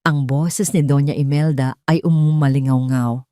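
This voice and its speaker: A woman narrating a scripted first-person drama in a deliberate, dramatic way with short phrases. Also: deep voice, steady tone, dark tone, no room sound, studio quality. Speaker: story narrator.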